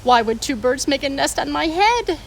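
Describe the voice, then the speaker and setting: A woman's voice: high-pitched, sing-song, moderate pace, creaky, exaggerated voice. A woman acts out an anxious storybook elephant who is easily alarmed. The voice swings widely and frets through exclamations and questions, with some noise behind it. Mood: worried, baffled. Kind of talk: picture book read-aloud.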